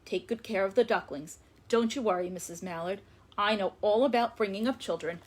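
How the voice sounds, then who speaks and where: A woman's voice: medium pitch, brisk, close to an everyday voice. Woman as a breezy, self-assured father duck. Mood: breezy, confident.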